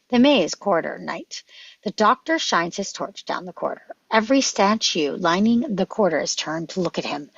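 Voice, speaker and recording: Female script narrator, low husky voice, dramatic delivery, dry and slightly dull lo-fi sound. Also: no echo. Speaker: stage-direction narrator.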